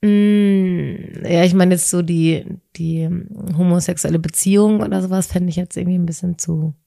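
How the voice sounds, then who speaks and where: A woman with a lowish voice, co-hosting a relaxed podcast chat. She answers hesitantly, pausing and searching for the right words. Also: vocal fry. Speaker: podcast co-host. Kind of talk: casual conversation about relationships.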